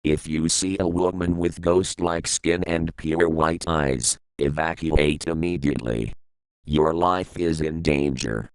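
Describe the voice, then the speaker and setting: A man playing a solemn government emergency broadcast announcer in an analog horror piece, formal and measured. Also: a bass voice, fairly even intonation, clear, resonant tone, no echo, rough recording. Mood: ominous warning.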